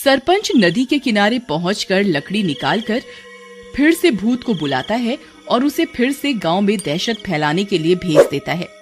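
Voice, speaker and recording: A narrator telling a cartoon horror story in an animated storytelling voice. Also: medium pitch, normal speed, soft background music.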